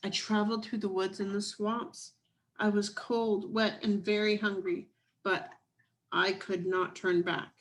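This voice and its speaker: A woman voicing a brave but frightened young girl in a children's storybook, earnest, hushed and deliberate. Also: medium pitch, level tone, close to an everyday voice, low-quality recording. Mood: weary. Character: Cassie, brave frightened young girl telling her escape.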